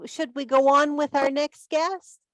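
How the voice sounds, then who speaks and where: A woman's voice: high-pitched, level tone, measured pace, no echo. A woman casually hosting an online group meeting and introducing guests.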